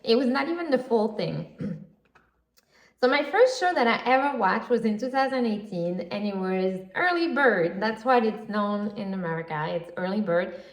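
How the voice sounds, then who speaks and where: A chatty woman hosts her solo podcast, gushing and rambling with plenty of fillers. Her voice sits at an ordinary middle pitch. The room around her is roomy and echoey. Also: expressive, normal speed. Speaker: podcast host. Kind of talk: casual solo podcast chat. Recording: roomy echo.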